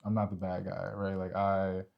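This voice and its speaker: A man's voice: sits low, monotone, moderate pace, creaky, lo-fi sound. A man co-hosting a casual discussion about relationships, talking in an informal, slangy way peppered with filler phrases like "you know". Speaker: podcast co-host.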